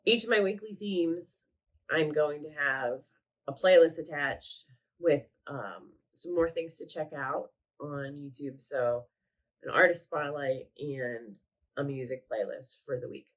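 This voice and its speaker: A woman's voice: deep voice, unhurried. Congested, nasal woman chatting casually. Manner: chatty, rambling, self-correcting. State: sick, congested. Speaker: craft vlogger. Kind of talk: casual show-and-tell vlog. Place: at home.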